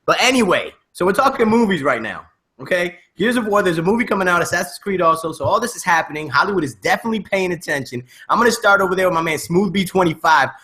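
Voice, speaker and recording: A man's voice: high voice, lively intonation, quick pace, sharp tone. A man hosting a casual podcast in slangy, emphatic hype-man banter. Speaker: podcast host.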